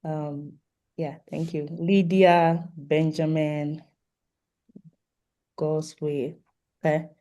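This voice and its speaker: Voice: medium pitch, level tone, drawn-out words, long pauses, dark tone. A host informally moderating an online meeting over a video call, politely inviting participants to introduce themselves. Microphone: video call microphone.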